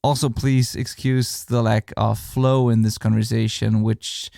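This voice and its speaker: A man's voice: fairly low pitch, little pitch movement, measured pace, creaky, dark tone, no room sound, clean studio sound. A man hosting a podcast, speaking English as a non-native speaker in an earnest, rambling way with frequent restarts. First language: not English. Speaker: podcast host, mixing engineer. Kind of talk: podcast outro monologue.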